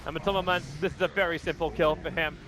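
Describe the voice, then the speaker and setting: A man with an Australian accent calls an esports match play by play, quick and excitable as fights break out. His voice sits high for a man, and the talk runs fast and urgent. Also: background noise. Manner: rapid, excitable calling of the action. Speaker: esports commentator.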